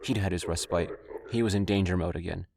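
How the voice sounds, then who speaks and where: A man's voice: low voice, fairly even intonation, quick pace. A man reading scripted narration for an audio drama. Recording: clearly noisy recording with clicks.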